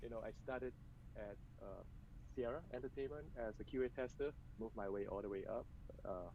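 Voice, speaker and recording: A soft-spoken man from Malaysia, heard as the guest in a video-call interview. He talks hesitantly, with plenty of fillers. The call audio is a bit muffled, with a faint hiss underneath and a voice at an ordinary middle pitch. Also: fairly quick. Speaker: interview guest, games executive.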